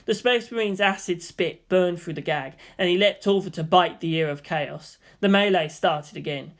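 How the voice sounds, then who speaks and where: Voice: mid-range voice, moderate pace. A narrator reads fiction aloud in a mid-range voice. The delivery is scripted and dramatic, in audiobook style.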